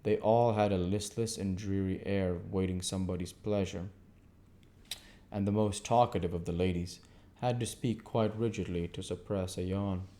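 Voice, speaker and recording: A man's voice: sits low, fairly even intonation, normal speed, warm tone. A man giving an amateur audiobook narration of a classic novel as the reader and host.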